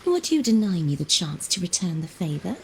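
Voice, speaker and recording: An actress playing an earnest, resolute young maiden knight in a video game, speaking in formal, measured phrases. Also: female voice, on the low side, big pitch swings, a little hiss or hum. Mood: solemn, determined.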